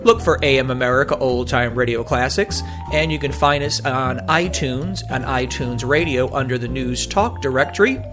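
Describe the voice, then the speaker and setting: A man's voice: mid-range voice, moderate pace, hardly any pauses. A man hosting a radio program, giving a presenter's sign-off narration. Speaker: radio show host. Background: music.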